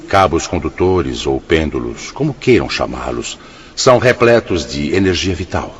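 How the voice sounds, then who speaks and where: Muffled radio play, presiding spirit elder, deep, formal, benevolent, lively intonation. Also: male voice. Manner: formal, measured explaining. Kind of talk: radio drama acting. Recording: muffled, noisy audio with ambience.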